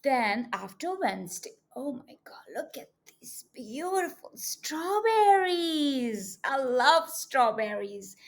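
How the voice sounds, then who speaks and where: A woman with a high voice reads a storybook to small children in a teacherly way. Her delivery is extremely slow, with deliberate stress on words. The pitch swings in a lively way as she coaxes young listeners along with questions. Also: gravelly. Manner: expressive, coaxing, addressing young children. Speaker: children's read-aloud teacher.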